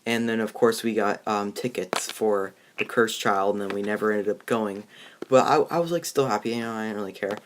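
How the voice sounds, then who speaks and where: A teenage boy in a casual movie discussion, talking in a halting, rambling way. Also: on the low side, noisy background. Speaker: movie review host. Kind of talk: casual chat.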